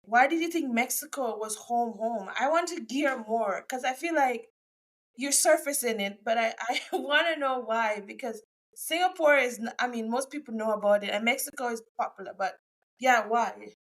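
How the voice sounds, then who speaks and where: A woman's voice: on the high side, moderate pace, a bit bright. Effusive woman podcast host. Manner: effusive, rambling, forgetful mid-sentence. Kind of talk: casual interview chat.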